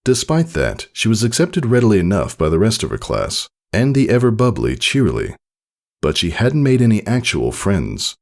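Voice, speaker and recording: A man narrates fiction in a low, gravelly voice. His storytelling is expressive and scripted, with intonation that moves around a great deal. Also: normal speed. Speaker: narrator reading fan fiction. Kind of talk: scripted audiobook-style narration.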